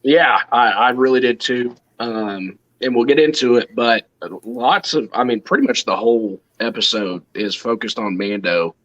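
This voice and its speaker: A man co-hosting a live fan show, his voice a little off from throat drainage, talking haltingly with many restarts. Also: medium pitch, a bit bright, lo-fi sound. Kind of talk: casual live-stream chat.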